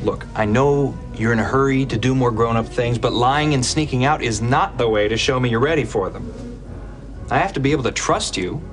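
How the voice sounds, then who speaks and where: A man's voice: mid-range voice, fairly quick, music in the background, close to an everyday voice. A man playing an earnest middle-aged professor dad in a TV sitcom, firm but awkward. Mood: stern, disappointed. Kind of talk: sitcom acting.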